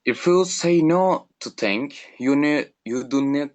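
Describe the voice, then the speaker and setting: A teenage boy from Turkey, a non-native English speaker, presenting a school talk over an online call, halting and filler-heavy. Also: on the high side, darkish tone. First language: Turkish. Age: teens. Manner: halting, reads points with fillers. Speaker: student speaker. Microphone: remote call microphone.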